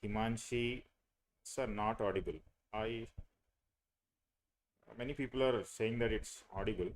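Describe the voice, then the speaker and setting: A man's voice: fairly low pitch, measured pace, a little hiss or hum, low-quality recording. A young man, teaching a live online class on a video call, hesitant with many fillers and restarts. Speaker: online course instructor.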